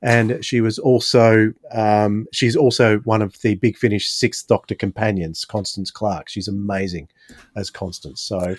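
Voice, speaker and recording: A man's voice: lowish voice, steady tone, unhurried. A man hosting a podcast, chatting casually as he reviews audio dramas. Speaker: podcast host.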